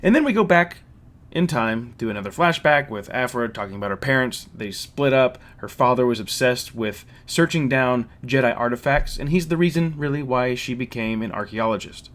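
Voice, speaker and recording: A man presents a spoiler recap as the host of a fan channel. He speaks at a medium pitch, working through his notes in an explanatory way and dropping in casual asides. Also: animated, normal speed, a bit bright.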